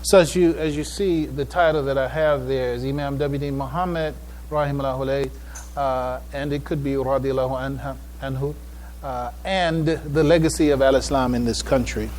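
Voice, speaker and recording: A man giving a public address as a presenter, speaking in a halting way with repeated phrases and restarts. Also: mid-range voice, steady tone, darkish tone, slight background noise, poor audio. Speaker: conference speaker presenting a paper. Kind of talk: public address, informal preamble then reading a paper aloud. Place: public event before an audience.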